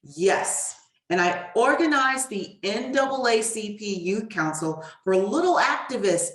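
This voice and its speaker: A woman's voice: medium pitch, expressive, unhurried, a bit bright. Woman reading as a kindly storybook cousin, echoey. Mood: calm, assured. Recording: roomy echo, distant mic.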